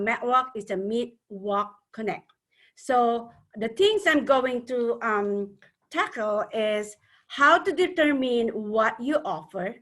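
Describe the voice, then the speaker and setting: A woman from the Philippines with a Filipino accent, speaking earnestly as a webinar panel speaker and often pausing on fillers. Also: fairly high pitch, a bit bright. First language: a Philippine language. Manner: earnest, hesitant with many fillers. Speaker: panel speaker, networking organizer.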